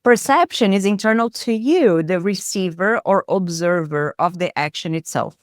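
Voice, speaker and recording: A woman's voice: on the low side, normal speed, no room sound, clean studio sound. A woman with a Brazilian accent, a psychotherapist explaining her ideas thoughtfully in an interview, with many fillers. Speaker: psychotherapist guest. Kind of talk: interview conversation.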